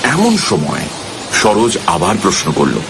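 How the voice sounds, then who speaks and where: A man's voice: low voice, huge pitch swings, measured pace, vocal fry, noisy background. A man narrating a ghost story in the first person, in a suspenseful, theatrical manner. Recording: loud music under the voice. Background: loud music.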